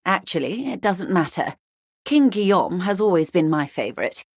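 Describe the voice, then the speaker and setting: A genteel, worldly negotiator speaks in a slightly low female voice with cool, ironic detachment. Her delivery is measured and precisely worded, as scripted game dialogue. The sound is dry, with no room around it. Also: big pitch swings, no echo. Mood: composed, urgent. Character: worldly, wry corporate negotiator woman, coolly detached and genteel.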